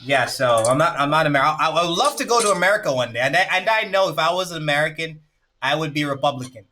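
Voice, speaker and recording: An excitable man from Jamaica talks on a live stream, his voice bright and fairly high. He tends to repeat himself. Faint music plays underneath. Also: quick pace, a little hiss or hum. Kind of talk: casual live-stream chat.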